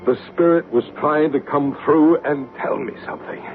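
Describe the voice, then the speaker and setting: A man playing a gentle, assured male psychic medium in a 1970s radio drama, explaining patiently. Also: fairly high pitch, moderate pace, soft background music, natural voice. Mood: narrating. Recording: narrow radio broadcast with faint hiss.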